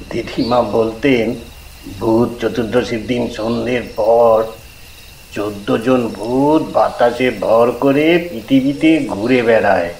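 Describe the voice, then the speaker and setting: A man narrating a scripted first-person horror story in a measured, suspenseful way. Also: on the low side, darkish tone, a little hiss or hum, poor audio.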